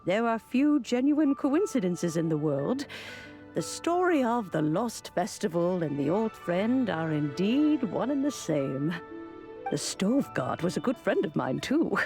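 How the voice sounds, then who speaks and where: A woman playing a wise, long-lived female mentor in a video game, speaking in a gentle, measured, formal storytelling way. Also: on the low side, exaggerated voice. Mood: wistful. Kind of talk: video game character acting. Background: music.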